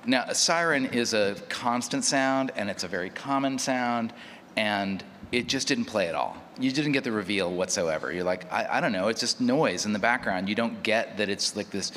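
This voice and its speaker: A man's voice: fairly low pitch, normal speed, a bit bright. A man speaking casually as a panelist, telling an anecdote in a conversational way. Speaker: panelist, audio drama maker. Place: large reverberant hall at a live panel. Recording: echoey hall, background noise.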